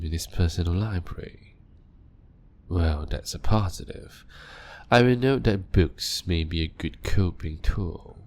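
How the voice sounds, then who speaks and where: A man voicing an ancient male vampire doctor, calm and clinical, speaking softly and often in a whisper in an audio roleplay. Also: deep voice. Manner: soft-spoken and measured, often whispering.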